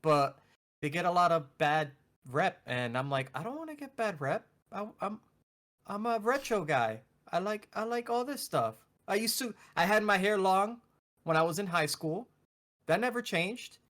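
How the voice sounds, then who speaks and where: A man's voice: high voice, sing-song, moderate pace, a bit bright. A man in his thirties chatting casually as a co-host, playfully exasperated and acting out imagined quotes. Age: 30s. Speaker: video game show co-host. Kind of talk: casual chat.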